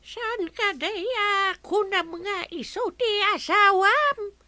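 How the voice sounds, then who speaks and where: An actress playing a blunt, suspicious elderly aunt from the province in a radio comedy drama. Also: female voice, sharp tone, dry recording, exaggerated voice. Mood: blunt teasing. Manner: shrill, drawn-out, blunt teasing. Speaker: visiting aunt.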